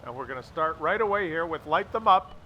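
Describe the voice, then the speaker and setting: A man's voice: a little high, expressive, brisk, lo-fi sound, slight background noise. A man giving casual commentary on a game replay, with a jokey, crude sense of humour and a habit of repeating his phrases. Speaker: host of gameplay commentary video.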